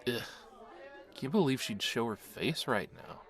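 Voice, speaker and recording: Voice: medium pitch, big pitch swings, a little slow, a bit bright, a faint crowd. An actor playing a sneering, bigoted cafe customer who challenges others with contempt, in an audio drama. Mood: hostile, contemptuous.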